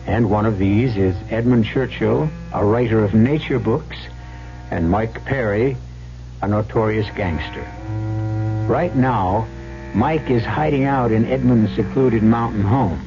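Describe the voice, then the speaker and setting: A man hosting and narrating an old-time radio drama in a wry, deliberate, scripted way. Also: fairly low pitch, music in the background. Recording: muffled, hissy old radio recording.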